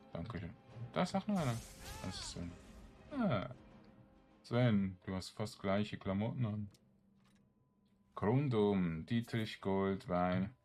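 A man giving casual gameplay commentary, musing aloud to himself in short, halting remarks. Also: lowish voice. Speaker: gamer, let's play host.

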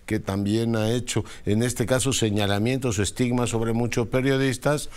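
A man's voice: mid-range voice, fairly even intonation, moderate pace, a little mellow. A middle-aged Mexican man, a news anchor, hosting an interview in a TV studio and prompting his guest conversationally.

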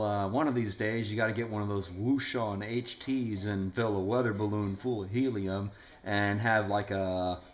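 A man chatting casually over the radio as an amateur operator, explaining things in a rambling way. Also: lowish voice. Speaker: ham radio operator making a video. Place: indoors in a home room. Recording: echoey room.

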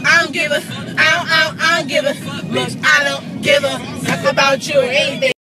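A woman's voice: high voice, brisk, very noisy. A young woman singing and rapping along in a car, playfully hyping up her friend. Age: young adult. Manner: excited, playful. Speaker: vlog host. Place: inside a moving car. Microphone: phone at arm's length. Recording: loud music and chatter in a car. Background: loud music playing in the car.

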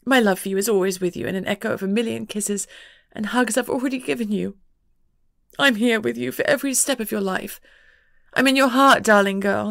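A woman voicing a gentle, loving mother who speaks softly and soothingly to her child. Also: a little high, creaky, no echo, studio quality, voice pushed up. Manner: soft, soothing, tender. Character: tender, gentle mother, warm and reassuring.

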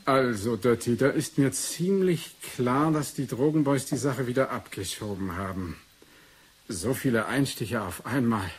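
A shrewd male investigator played by an actor thinks aloud in a medium-pitched voice. He is methodical and conversational, in an eighties radio play with slight background noise. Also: a little slow, close to an everyday voice. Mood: musing. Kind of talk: 1980s German radio drama acting.

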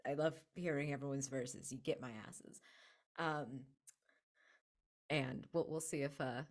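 A young adult woman improvising a present-tense story for a role-playing game, in halting, measured phrases, close to a desk microphone. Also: a bass voice, fairly even intonation. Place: indoor room at home, video call.

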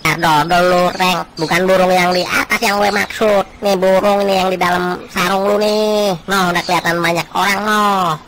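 A man voicing a chatty, excitable, hapless village freeloader in a comic cartoon, grumbling and exclaiming in colloquial speech. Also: high voice, normal speed, few pauses, a little hiss or hum, poor audio. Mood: flustered.

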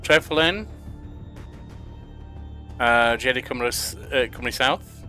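A man's voice: mid-range voice, slow pace, bright, forceful tone, faint music behind. An older man from England narrating a management video game, reading the questions aloud and answering them himself. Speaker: gaming YouTuber. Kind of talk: casual let's-play commentary. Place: home room at a desk.

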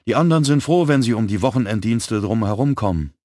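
A man voicing a brisk, frank young woman who works as a police typist. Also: fairly low pitch, lively intonation, darkish tone, no room sound, clean studio sound, voice pushed up. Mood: lively.

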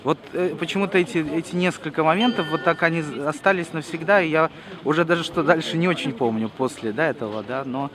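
A man, a journalist from Russia, giving a slow, thoughtful outdoor interview with hesitant pauses. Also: fairly high pitch. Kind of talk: reflective street interview. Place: outdoor city square at a memorial gathering. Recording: outdoor crowd noise behind.

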